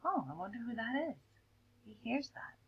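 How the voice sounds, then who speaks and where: A woman teacher reading a picture book aloud to children, expressively, stretching words and dropping into hushed explanatory asides. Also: a little high, animated, moderate pace, slightly rough, lo-fi sound. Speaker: elementary school teacher.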